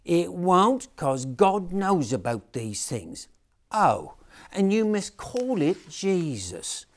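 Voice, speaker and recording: A self-conscious little boy in a school nativity play, reciting his angel lines in a flat, deadpan voice. A man acts him out, pitched high and slowed down. He plods through each line as if reading from memory. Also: full character voice. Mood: flat, insistent. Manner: deadpan, flat, slow and halting.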